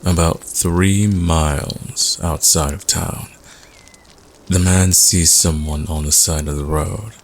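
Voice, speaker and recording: A man acting as a laconic storyteller at a campfire, deep and gravelly, telling an eerie tale in an understated way. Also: steady tone, takes it slowly, slight background noise. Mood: measured, ominous. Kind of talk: audio roleplay campfire storytelling.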